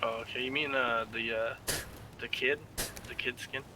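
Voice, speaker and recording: A man's voice: mid-range voice, fairly even intonation, normal speed. A man playing an online game, chatting casually in short, agreeable, easygoing replies over voice chat. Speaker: player in online roleplay game. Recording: noisy, distant in-game voice chat.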